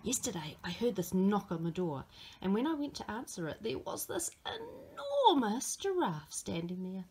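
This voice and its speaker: A woman's voice: medium pitch, big pitch swings, moderate pace, darkish tone, breathy edge, exaggerated voice. A woman voicing a small, earnest, imaginative girl who tells tall tales in a dramatic storybook manner.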